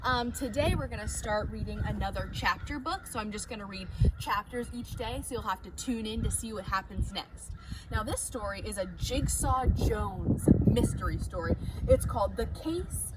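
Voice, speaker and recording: A woman teacher reading a children's chapter book aloud from her home, in an animated storytelling manner with bright emphasis. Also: medium pitch, normal speed, heavy background noise.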